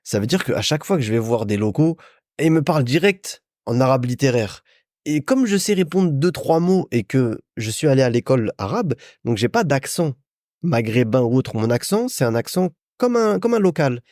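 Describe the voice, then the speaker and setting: Casting: young male podcast host from France, chatty and informal, animated intonation, dry studio-like sound. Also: mid-range voice, moderate pace, no room sound. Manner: chatty, informal, addresses listener as a friend. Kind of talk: casual solo podcast monologue, storytelling.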